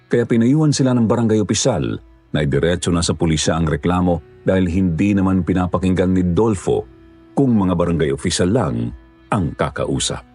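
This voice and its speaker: Deep, dark-voiced male story narrator. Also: slightly rough. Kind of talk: scripted horror story narration.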